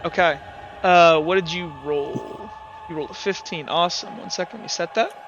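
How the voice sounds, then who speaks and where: A man running a tabletop roleplaying game as game master in a casual, halting way, thinking aloud as he narrates. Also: fairly high pitch, lo-fi sound, background noise.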